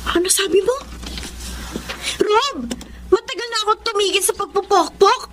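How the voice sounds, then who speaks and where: An actress with a Filipino accent playing a battered young wife, tearful and pleading yet defiant, in a radio drama. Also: female voice, very high voice, moderate pace, fairly bright tone, a little hiss or hum. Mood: hurt, defiant. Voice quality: tearful, plaintive.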